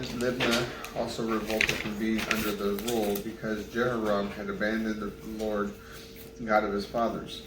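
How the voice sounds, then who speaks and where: A man reading scripture aloud in a plain, unpolished way, halting and correcting himself over names. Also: fairly low pitch. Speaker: Bible reader. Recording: roomy, distant mic, clear background noise.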